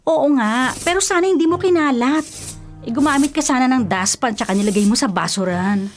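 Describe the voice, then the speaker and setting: A woman's voice: high voice, animated, a little fast, soft background music. A woman playing a superstitious, easily irritated older sister in a radio drama, scolding and insistent. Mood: bossy, irritated. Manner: scolding, insistent, anxious about bad luck.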